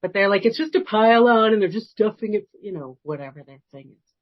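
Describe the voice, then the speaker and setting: A woman's voice: medium pitch, animated, fast. A woman interviewing a guest in a casual, conversational style. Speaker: podcast interviewer. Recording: dull lo-fi audio.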